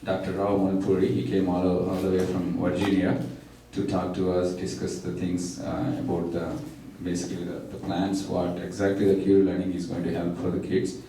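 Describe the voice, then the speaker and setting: Deep, flat, halting man, echoey room. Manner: halting, many fillers like uh and like. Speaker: local center partner introducing the speaker. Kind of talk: hesitant introduction speech. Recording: echoey room from far off, faint noise.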